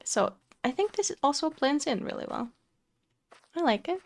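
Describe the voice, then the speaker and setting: A young woman from Finland, chatting casually with fillers and soft laughs while touring her game build. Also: high-pitched, very animated, moderate pace. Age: young adult. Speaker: Minecraft let's play creator. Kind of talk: casual gameplay commentary.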